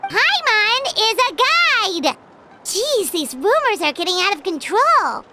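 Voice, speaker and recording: Game mascot: childlike fairy guide, extremely high, animated, excitable, under loud music. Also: female voice, slow pace, heavily acted. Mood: indignant. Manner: squeaky, indignant, dramatic. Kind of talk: video game voice acting.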